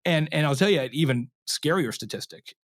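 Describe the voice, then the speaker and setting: A man's voice: on the high side, a little fast, no echo, studio quality. A man speaking as an expert interview guest, explaining conversationally and acting out imagined quotes as he goes. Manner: animated storyteller, acts out imagined customer quotes, checks in with "right?".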